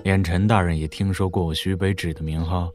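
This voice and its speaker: Faint outdoor-like noise with birds sits behind a man acting a witty, unabashed official in a wuxia audio drama. His voice is very deep, and he talks casually, with wry, teasing ease. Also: fairly even intonation, brisk, darkish tone, close to an everyday voice. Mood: wry, frank. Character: jocular, hard-drinking male official, witty and unabashed.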